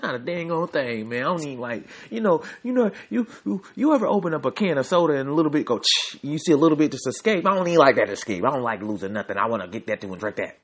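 Male podcast host, husky voice, swooping pitch, jokey sports rant. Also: rapid-fire, hardly any pauses. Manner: animated, repetitive, joking.

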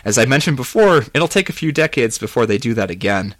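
A man's voice: mid-range voice, very animated, quick pace, fairly bright tone, no room sound. A man voicing a smug, triumphant male immortal in an audiobook, explaining things in a pleased, knowing way. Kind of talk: audiobook character voice.

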